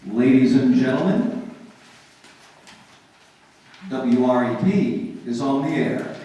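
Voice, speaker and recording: A man's voice: mid-range voice, slow, dull audio, close to an everyday voice. A man playing a formal, declamatory 1940s radio drama announcer, reading scripted narration. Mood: grand. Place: live performance in a library. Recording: very echoey hall, distant, faint noise.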